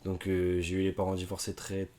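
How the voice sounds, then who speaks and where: A man in his twenties, born in Canada and raised in France, telling his story as a podcast guest in a hesitant, unhurried way. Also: low-pitched, completely flat delivery, dark tone, slight background noise. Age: 20s. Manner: hesitant, many fillers and restarts, unhurried. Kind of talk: casual conversation.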